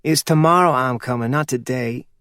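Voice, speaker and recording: A man voicing a quick-witted young woman from who talks bluntly and wryly. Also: medium pitch, a bit bright, clear, resonant tone, no room sound, studio quality, close to an everyday voice. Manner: blunt, wry.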